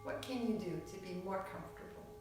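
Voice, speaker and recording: Echoey, distant woman guiding a relaxation. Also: medium pitch. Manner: soothing, unhurried, short phrases with long pauses. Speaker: yoga nidra teacher. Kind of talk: guided relaxation meditation. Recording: very echoey room, distant mic, music behind. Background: music.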